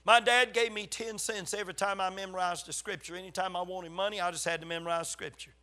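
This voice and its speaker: An older male preacher with a Southern US accent delivers a sermon in a high, forceful voice. He is getting over a cold, which leaves a slight rasp. His storytelling is folksy and emphatic, with weighty pauses. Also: steady tone. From South Carolina, USA. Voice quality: slightly raspy. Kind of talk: sermon to a congregation.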